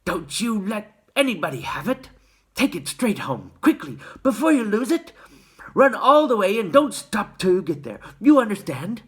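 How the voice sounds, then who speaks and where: A reader doing a yelling, jolly fat shopkeeper. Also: male voice, sits high, normal speed, husky, voice pushed up, heavily acted. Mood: urgent. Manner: excited yelling, breaking into laughter.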